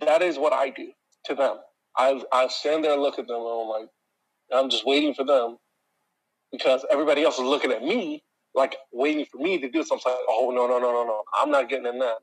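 Deep-voiced man ranting, slightly husky. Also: a little fast, poor audio. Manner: exasperated ranting storyteller, talks to listeners. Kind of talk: casual chat.

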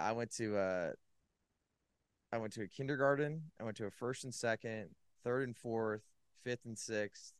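A man with a lowish, smooth and resonant voice, recorded close and dry with no room sound. He tells his personal story in a loose, casual way, full of "like" and restarts. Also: no echo. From California. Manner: loose and rambling, many likes and restarts. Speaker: podcast guest.

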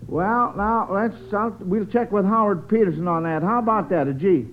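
A man's voice: high-pitched, moderate pace, slight background noise. An American man hosting a vintage radio quiz for children in a chatty, avuncular way. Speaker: quiz show host. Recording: tinny vintage radio broadcast.

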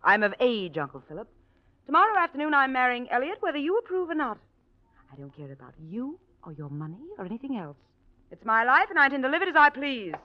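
High-voiced headstrong young heiress. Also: female voice, very animated, fast. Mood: defiant. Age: young adult. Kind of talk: 1940s radio acting. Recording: dull vintage radio recording.

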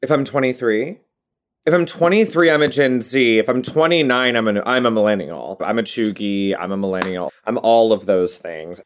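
A woman's voice: a bass voice, normal speed. Playful woman in her twenties. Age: 20s. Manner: playful, laughs often. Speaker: guest. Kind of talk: casual interview chat.

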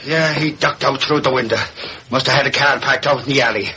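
An actor playing an excitable, blustering tough reporter in a 1940s radio drama, talking in colloquial exclamations. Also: male voice, medium pitch, brisk, background noise, natural voice. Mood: startled. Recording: old-time radio recording.

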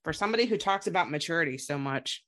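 A woman's voice: sits low, expressive, quick pace, a bit bright. A woman hosting a podcast, chatting casually and gossipily as she recaps reality television. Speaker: podcast host.

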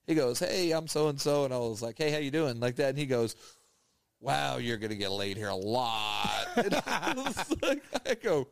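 A man tells a story in a loose, casual chat, laughing often and slipping into the voices of the people he quotes. His pitch sits in the ordinary middle range. The sound is dry and close. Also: expressive, moderate pace, creaky, no echo. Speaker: podcast co-host.

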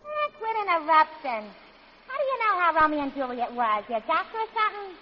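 Pretentious Brooklyn stage diva. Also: female voice, extremely high pitch, big pitch swings, fairly quick, a little hiss or hum. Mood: snappy. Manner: theatrical, pompous, slipping into brash slang. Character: pretentious would-be grand actress playing Juliet, brash underneath. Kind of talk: 1940s radio comedy acting. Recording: muffled old radio broadcast recording.

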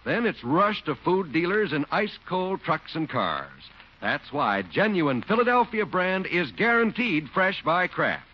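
Lively, fairly high-voiced vintage radio announcer, male. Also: unhurried. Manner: polished, emphatic salesmanship. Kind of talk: 1940s radio announcing and advertising. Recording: dull vintage radio recording.

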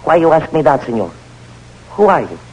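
An actor playing an excitable, wary Cuban scout in an old radio drama, with a Cuban Spanish accent and exclamatory broken English. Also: male voice, a little high, brisk, dull audio. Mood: wary, curious. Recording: noisy, humming vintage radio recording.

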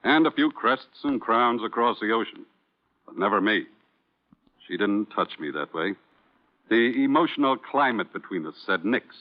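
An actor playing a sardonic Broadway producer, biting and theatrical, in an old-time radio crime drama. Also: male voice, lowish voice, lively intonation, moderate pace. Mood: defensive, bitter. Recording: tinny, band-limited vintage radio recording.

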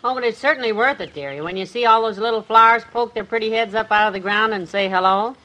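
An actress playing a doting, teasing and sensible housewife in a classic radio comedy, affectionate and coaxing. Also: female voice, a little high, quick pace, pushed and bright, muffled recording. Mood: sweet coaxing.

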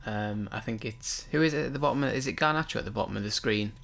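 Low-voiced man talking football. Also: fast talker, gravelly, a bit bright. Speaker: podcast co-host, football pundit. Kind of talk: casual football discussion.

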